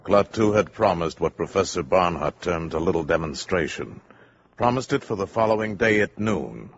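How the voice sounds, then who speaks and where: A man's voice: low voice, lively intonation, normal speed, background noise. A man with an American accent narrating a 1950s science fiction radio drama in a dramatic, suspenseful way. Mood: grave, urgent. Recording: muffled 1950s radio recording, music behind.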